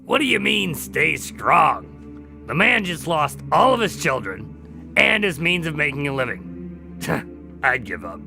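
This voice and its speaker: An actor playing a jaded, skeptical traveler who doubts God in a radio drama, blunt and questioning. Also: male voice, fairly high pitch, sing-song, slow, vocal fry, bright, forceful tone, soft background music, heavily acted. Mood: blunt, incredulous.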